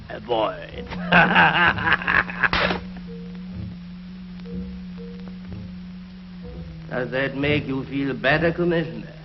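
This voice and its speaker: An actor playing a smug, fanatical alien saboteur in a 1950s science fiction TV show, theatrical and taunting. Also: male voice, on the high side. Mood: mocking. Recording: muffled 1950s TV soundtrack with music.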